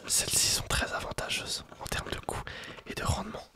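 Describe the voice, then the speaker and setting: A person whispering in an ASMR roleplay as a coffee shopkeeper, speaking to the listener in a hesitant, improvised sales patter with an airy, breathy voice. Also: fairly quick. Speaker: ASMR performer playing a coffee roastery shopkeeper.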